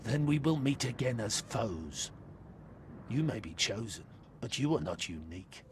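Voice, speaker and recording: A man's voice: mid-range voice, very animated, background noise. An actor playing a man possessed by an undead sorceress, speaking cryptic riddles solemnly in a video game. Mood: vengeful, cryptic. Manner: ominous, riddling, deliberate. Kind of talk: video game dialogue acting.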